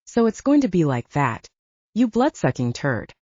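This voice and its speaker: An angry brute of a werewolf fighter, acted out by a woman narrator, aggressive and challenging in an audiobook tale. The pitch jumps around with menace, over slightly dull audio. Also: sing-song, normal speed, a little mellow, breathy edge, no echo. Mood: angry, menacing. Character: angry, brutish, heavy male werewolf fighter.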